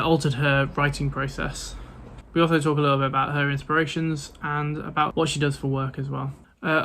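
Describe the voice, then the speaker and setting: Higher-voiced male podcast host. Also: fairly bright tone. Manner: friendly, hesitant with fillers. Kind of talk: podcast intro and interview.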